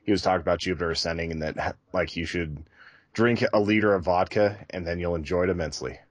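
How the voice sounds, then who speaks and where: A man's voice: sits low, fast talker, dry recording. A man co-hosting a podcast, talking in a casual, conversational style to his listeners. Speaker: podcast co-host.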